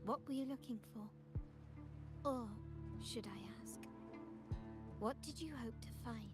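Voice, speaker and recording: A woman's voice: fairly high pitch, slow pace. An actress playing a composed, commanding woman of a powerful family in a video game, poised, formal and probing. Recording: music behind the voice. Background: game music.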